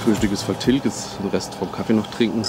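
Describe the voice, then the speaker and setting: A man's voice: lowish voice, fairly even intonation, a little fast, over loud music. A man in his thirties chatting casually as a vlogger, rambling with fillers and searching for words, filmed on a camera in a tent and then in a car. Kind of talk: casual vlog chat. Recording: loud background noise from rain and car.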